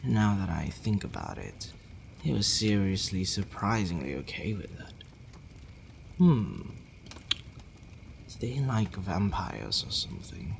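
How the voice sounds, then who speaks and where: A man playing an imperious but secretly softening male vampire in an ASMR roleplay, drawling theatrically with murmured asides. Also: deep voice, very animated, a little slow, gravelly, pauses often, slight background noise, exaggerated voice. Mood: lordly, composed.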